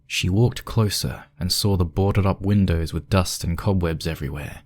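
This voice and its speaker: A man's voice: low voice, moderate pace, dry recording, studio quality. A man reading scripted narration for a spooky fan fiction story.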